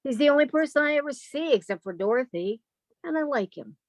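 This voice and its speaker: A woman's voice: sits high, expressive, fairly quick, dry recording, studio quality. A woman playing a timid, yielding younger spinster sister in a radio drama, hesitant and apologetic. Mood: timid pleading. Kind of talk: old time radio drama acting.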